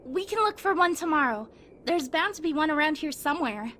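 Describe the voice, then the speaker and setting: A woman playing a caring, practical young survivor in an amateur audio drama, speaking with gentle concern. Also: high voice, expressive, a little fast, a little hiss or hum.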